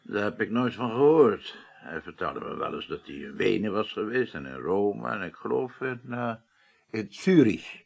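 A sceptical president leading an inquiry in a radio play. The voice is husky and creaky, with lively pitch, and the manner is terse and stern. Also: male voice, normal speed, full character voice. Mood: sceptical recalling. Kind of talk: Dutch radio play acting.

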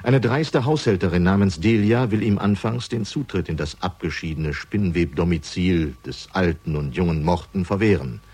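A man reading scripted narration for a crime radio drama, measured and dramatic, with deliberate pauses. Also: low-pitched, a little mellow, husky.

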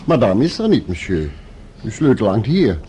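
An actor playing a courteous, formal French hotel desk clerk in a Dutch radio play, sprinkling in French titles. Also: male voice, fairly low pitch, very animated, normal speed, dark tone, slight background noise. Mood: polite.

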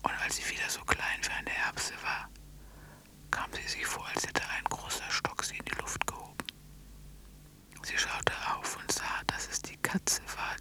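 An actor playing a storyteller in a radio drama, narrating an eerie, intimate tale in hushed, deliberate phrases. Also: lightly acted, rough recording.

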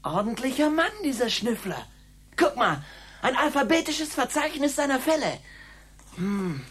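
A woman's voice: high voice, sing-song, measured pace, a bit bright, breathy edge, full character voice. An actress playing a cheeky, pushy gangster's henchwoman in a German radio drama, with a brash, mocking, colloquial delivery.

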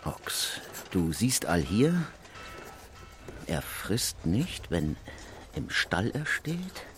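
A man playing an old-time inventor, reciting a rhyming advertisement in a deliberate, declamatory manner. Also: on the low side, very animated, a bit bright, faint music behind, full character voice. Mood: proud, folksy.

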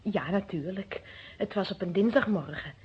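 A woman's voice: mid-range voice, normal speed, slightly rough. A young woman playing a wary, flustered housekeeper in a radio drama, hesitant and defensive but chatty. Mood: nervous. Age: young adult. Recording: dull muffled old radio drama recording.

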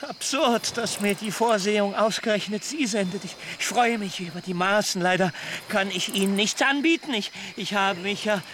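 An actor in a German radio play playing a frail, starved, eccentric castaway zealot who stammers and rambles effusively. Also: male voice, sits high, moderate pace, a bit bright, slight background noise. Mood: overjoyed, reverent.

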